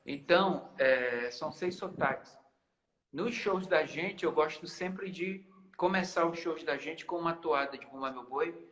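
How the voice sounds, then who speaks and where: A man's voice: a little high, unhurried, fairly bright tone, muffled recording. A man, a guest musician in a casual live-stream interview, explaining patiently and often restarting his phrases. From Maranhão, Brazil. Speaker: guest musician, band founder.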